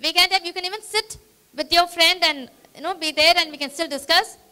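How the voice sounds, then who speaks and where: A woman leading an interactive training session in a meeting hall. She rallies the audience with energetic questions. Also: very high voice, fairly quick. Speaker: workshop trainer.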